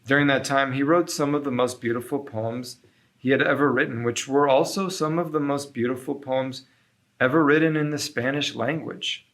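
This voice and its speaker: A man narrating a scripted children's story in an expressive, measured storytelling manner with deliberate pauses. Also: mid-range voice. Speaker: storyteller reading aloud.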